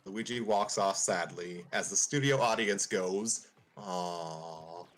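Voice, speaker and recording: A man's voice: fairly low pitch, a little slow, a bit bright. A man narrating a fan script casually, informally breaking off to make side comments. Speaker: narrator and reader.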